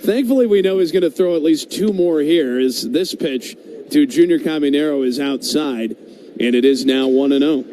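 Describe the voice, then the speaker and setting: A man's voice: fairly high pitch, normal speed, dark tone, a little hiss or hum, poor audio. An American man doing live radio baseball play-by-play in a conversational way. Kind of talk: live radio sports commentary. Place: stadium broadcast booth.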